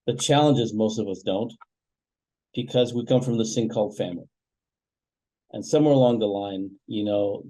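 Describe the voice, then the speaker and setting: A man from Canada, a family wealth coach, answering interview questions in a reflective, measured way with many fillers. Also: on the low side, dark tone, low-quality recording.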